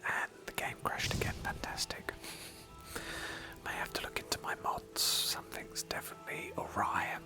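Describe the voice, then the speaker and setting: A woman narrating a roleplay game playthrough in a breathy ASMR whisper, slowly and with pauses. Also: over music.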